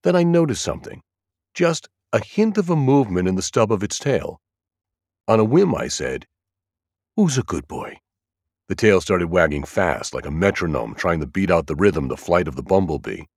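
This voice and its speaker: A man reading scripted first-person thriller narration as an audiobook, with an expressive, dramatic storytelling delivery. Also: low voice, moderate pace, no room sound, studio quality.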